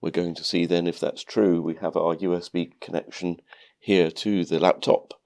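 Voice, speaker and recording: A man narrating a calm step-by-step tutorial, sounding nasal from a cold. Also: low voice, moderate pace, vocal fry. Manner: calm, methodical explaining. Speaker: maker channel host.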